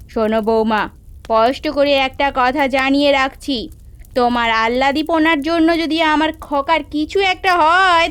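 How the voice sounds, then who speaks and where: A woman's voice: high voice, fairly bright tone, clean tone, no echo. A woman voicing a stern, proud older mother-in-law in a cartoon story, reproachful and emphatic. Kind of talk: animated story character acting.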